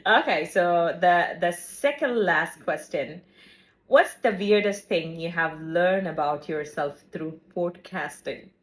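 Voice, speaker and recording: A woman's voice: lowish voice, takes it slowly. A woman co-hosting a casual podcast chat, talkative and filling her talk with "like". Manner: chatty, says "like" often, laughs easily. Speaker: podcast co-host.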